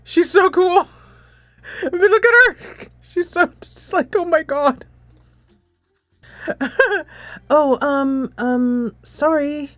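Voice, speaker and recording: A woman's voice: extremely high pitch, expressive, takes it slowly, pauses often, dry recording. A woman giving casual, chatty commentary on a game playthrough, giggly and playfully amused. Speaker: gameplay host and streamer. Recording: soft music behind the voice.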